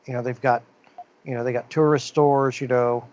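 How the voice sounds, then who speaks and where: A man's voice: medium pitch, steady tone, normal speed, a little mellow, highs cut off. A man co-hosting a podcast, talking in a loose, rambling way. He reads the news out and circles back through uh's and restarts. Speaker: podcast co-host. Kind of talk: casual podcast chat.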